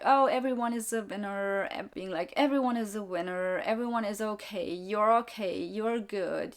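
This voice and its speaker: A woman's voice: medium pitch, a bit bright. A young adult woman hosting her own podcast, English not her first language, talking bluntly and candidly in a solo monologue with sarcastic asides. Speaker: podcast host and YouTuber.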